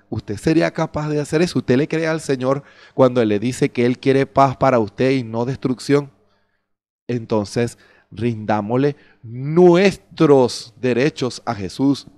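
A man's voice: medium pitch, a little mellow. A middle-aged man preaching a sermon from a church stage into a handheld microphone, firing rhetorical questions at the congregation and acting out their excuses. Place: church stage before a curtain. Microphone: handheld mic.

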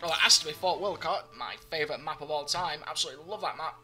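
A man's voice: on the high side, quick pace, sharp tone, soft background music. A young man giving live gameplay commentary, chatty and joking, his voice slightly groggy. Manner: chatty, joking, talks to himself while playing. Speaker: gaming commentator.

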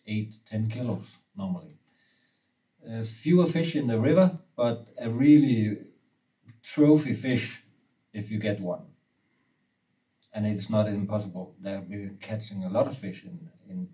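A fishing guide in his forties whose first language is Danish, explaining steadily with pauses, recorded indoors from a few feet away. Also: fairly low pitch, warm tone, distant mic, poor audio. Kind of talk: casual interview. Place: indoor room with brick wall and river map.

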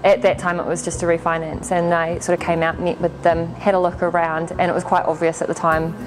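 A woman's voice: on the low side, level tone, brisk, low-quality recording. A British woman in her thirties giving an interview testimonial about her work. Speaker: agricultural bank relationship manager. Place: indoor milking parlour. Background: background music.

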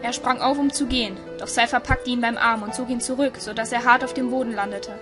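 Female narrator, somewhat high pitch, scripted storytelling, faint music behind. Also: steady tone, brisk.

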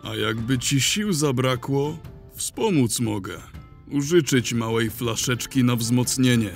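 Man playing an unhurried, wry wizard. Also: mid-range voice, animated, creaky, faint music behind. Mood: calm. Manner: unhurried, dry. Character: calm wry male wizard, quietly amused. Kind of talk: fantasy audio drama acting.

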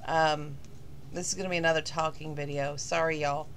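A middle-aged woman, slightly hoarse from losing her voice, rambling casually in a talking-to-camera vlog with lots of fillers. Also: low-pitched, steady tone, fairly quick, a little hiss or hum. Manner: rambling, chatty, with many fillers. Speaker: vlogger.

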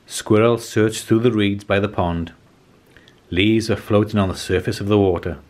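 A man in his forties reading a children's storybook aloud as scripted narration, in a measured, expressive way. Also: fairly low pitch. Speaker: children's storybook reader and host.

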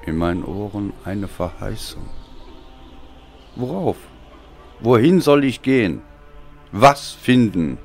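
A man reading a dark story as a dramatic narrator, in a brooding, deliberate and theatrical manner. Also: lowish voice, expressive, gravelly, faint music behind. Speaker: audiobook narrator.

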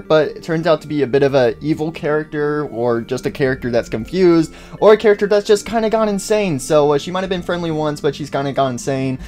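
A man's voice: on the high side, darkish tone. A man hosting a casual game mod review video. He talks upbeat and rambling, full of "uh" and "so, yeah" fillers. A little music hums faintly behind him. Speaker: YouTuber host.

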